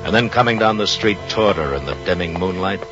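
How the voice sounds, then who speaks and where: An actor narrating a suspense radio drama as a grave, literary storyteller, measured and atmospheric. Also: male voice, deep voice, expressive. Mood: grave, suspenseful. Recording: muffled old radio recording. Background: music.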